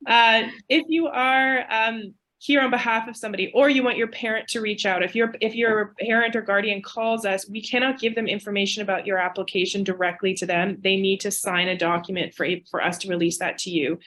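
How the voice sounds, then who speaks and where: A woman's voice: medium pitch, fairly even intonation, brisk, fairly bright tone. A woman admissions officer losing her voice, giving webinar advice in a chatty, informal way. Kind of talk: webinar presentation. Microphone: video call.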